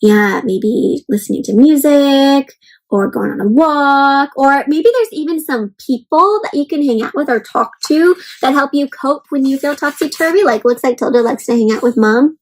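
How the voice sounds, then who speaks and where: High-pitched woman reading aloud to children. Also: moderate pace, poor audio. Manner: expressive, encouraging, asks listeners questions; addresses listeners as friends, simple child-directed phrasing. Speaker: children's read-aloud host. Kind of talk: interactive picture-book read-aloud to children.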